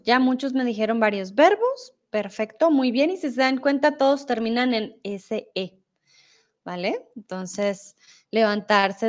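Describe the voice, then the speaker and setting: A young woman with a Bogotá accent teaches Spanish on a live stream. Her voice sits a little high and she speaks slowly, explaining each point with patience. Now and then she stops to read viewer comments aloud. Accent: Colombian, Bogotá. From Colombia. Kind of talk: live-stream language lesson reading chat.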